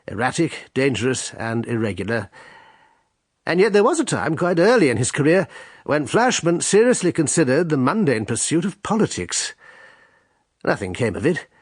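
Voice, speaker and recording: A man narrating a scripted radio drama introduction in a measured, formal, drily ironic manner. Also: medium pitch, husky, no room sound, clean studio sound.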